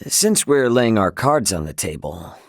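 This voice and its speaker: A man's voice: on the low side, big pitch swings, unhurried, no echo, clean studio sound. An actor voicing a brash, loyal young male spaceship captain in a video game, with an American accent and a casual, cocky, emphatic way of talking. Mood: easygoing, friendly.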